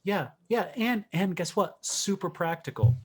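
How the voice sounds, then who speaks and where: A man's voice: sits high, animated, unhurried, background noise. A man speaking as a guest coach in a conversational interview, thinking aloud and rephrasing as he goes.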